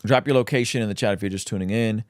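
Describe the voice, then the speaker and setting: A man's voice: on the low side, racing, dry recording, clean studio sound. A man, a native Russian speaker, hosting a casual livestream where he reads out chat questions and answers them with plenty of ums.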